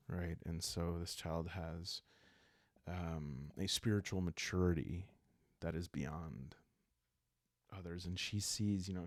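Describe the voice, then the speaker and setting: Male ASMR storyteller: low, gravelly, calm and halting, for a solo roleplaying game. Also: no echo, studio quality. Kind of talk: calm ASMR narration of a dark journaling game.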